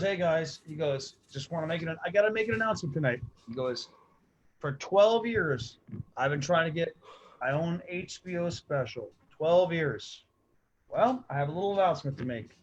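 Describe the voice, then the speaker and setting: High-voiced rambling comedian host. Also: male voice, a little hiss or hum. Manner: rambling, repeats himself, storytelling. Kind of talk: casual chat. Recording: dull lo-fi sound.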